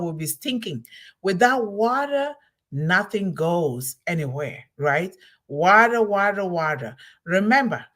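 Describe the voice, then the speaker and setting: Emphatic woman giving a talk. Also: medium pitch. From Cameroon. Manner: emphatic, repeats key words, asks listeners "right?". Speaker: host of a motivational talk series. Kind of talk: solo motivational talk.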